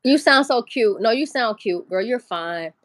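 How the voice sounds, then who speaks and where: A woman's voice: on the high side, lively intonation, measured pace, dry recording, studio quality. A woman hosting a casual livestream, talking loosely, swearing and greeting viewers in the chat. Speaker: livestream host.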